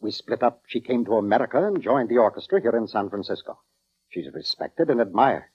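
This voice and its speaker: A fretful, courtly British male conductor, formal in speech and very animated in pitch. His voice is husky, and the old 1940s broadcast sound is dull. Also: fairly quick. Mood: guarded, earnest. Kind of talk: 1940s radio acting. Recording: dull, band-limited old radio recording.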